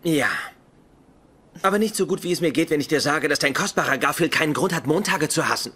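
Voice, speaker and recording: An actor playing a pedantic, petty young genius in a dubbed sitcom, speaking with smug precision. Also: male voice, fairly high pitch, animated, fairly quick, pushed and bright, slightly rough, heavily acted. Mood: petulant. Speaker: sitcom character. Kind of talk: German-dubbed sitcom acting. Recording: sitcom soundtrack with audience laughter, noisy.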